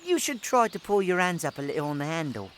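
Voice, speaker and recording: An actor playing a kind, diligent village boy in a historical audio drama, gentle and encouraging. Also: medium pitch, big pitch swings, fast, slight background noise, close to an everyday voice. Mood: patient, helpful.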